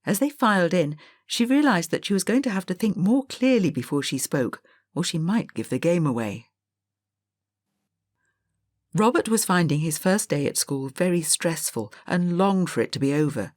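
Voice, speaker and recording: A woman narrates a children's story. Her voice sits a little low for a woman, and the recording is dry, as for an audiobook. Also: expressive, moderate pace, darkish tone, no echo.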